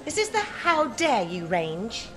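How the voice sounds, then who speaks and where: A woman's voice: on the high side, wildly swooping pitch, takes it slowly, background noise, heavily acted. An actress playing a curious, tentative female shop customer in a radio comedy. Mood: curious, hesitant.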